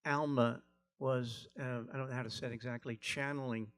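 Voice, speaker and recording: A man's voice: medium pitch, steady tone, moderate pace, fairly bright tone. A man with a mid-range voice puts a question from the audience. He speaks off the cuff, tentatively, searching for the right words as he goes. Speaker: audience member asking a question. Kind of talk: unscripted spoken question.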